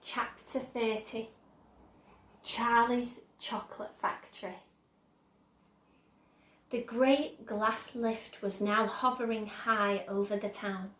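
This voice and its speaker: Lady reading a kids book out loud, unhurried n careful, echoey room. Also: fairly high pitch, little pitch movement. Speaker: storyteller. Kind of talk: children's audiobook narration.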